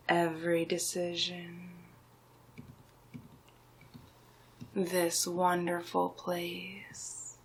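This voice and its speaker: A smug, scheming male sorcerer, acted out by a woman speaks in an ASMR villain roleplay. The voice is low-pitched for a woman and breathy, often sinking to a whisper. Each phrase comes very slowly and deliberately, with condescending, hypnotic command. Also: heavily acted.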